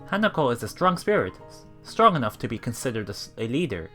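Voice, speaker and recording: A man's voice: mid-range voice, sing-song, quick pace, pushed and bright, faint music behind. A man reading a game aloud as a let's play streamer, reacting casually and repeating phrases as he puzzles things out.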